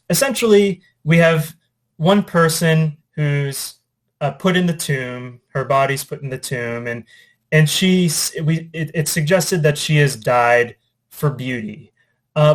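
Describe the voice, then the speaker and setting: A man speaking as an English teacher, informally reading and discussing poetry in a reflective, conversational, somewhat halting way. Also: a little high. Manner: reflective, conversational, hesitant with trailing asides.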